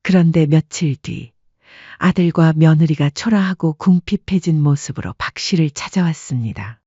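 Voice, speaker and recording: A woman reads a scripted story calmly and evenly. Her voice is husky and mellow. The recording sounds dull and dry, close to the mic. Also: low-pitched, no room sound. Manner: calm, even storytelling. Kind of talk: scripted audiobook narration.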